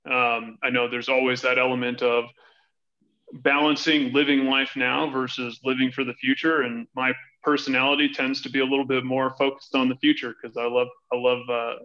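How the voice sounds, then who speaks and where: A man's voice: medium pitch, flat delivery, normal speed, bright, forceful tone, highs cut off. A man in his thirties from Hawaii with an American accent, answering conversationally as a podcast interview guest. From Hawaii, United States. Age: 30s. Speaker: podcast guest, financial advisory director.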